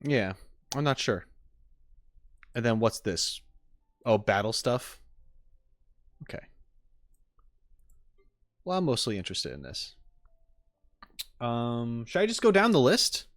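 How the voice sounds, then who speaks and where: Guy streamer thinking out loud, pitch jumps around, real dry sound. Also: big pitch swings, slow, pauses often, no room sound. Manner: hesitant, thinking aloud. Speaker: streamer reacting to game content. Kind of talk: casual chat with live viewers.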